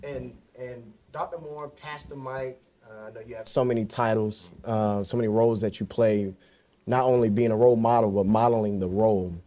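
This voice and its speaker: A man's voice: on the low side, steady tone, moderate pace, warm tone. A man in his thirties from Florida, moderating a panel in a conversational way with meandering, anecdotal lead-ins. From Florida, United States.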